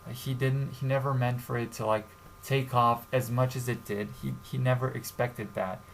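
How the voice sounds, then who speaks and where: Gravelly-voiced man from Serbia. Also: medium pitch, steady tone, a bit bright, low-quality recording. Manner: halting, many fillers and restarts. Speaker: YouTube channel host. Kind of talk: casual commentary vlog. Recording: faint background noise.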